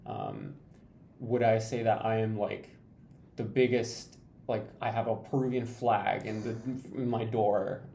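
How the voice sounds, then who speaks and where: A young man from Peru with a dark, gravelly voice that moves lively up and down. He talks thoughtfully, searching for his words. The room is echoey. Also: fairly low pitch, a little slow. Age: young adult. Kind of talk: casual discussion. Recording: echoey room, faint noise.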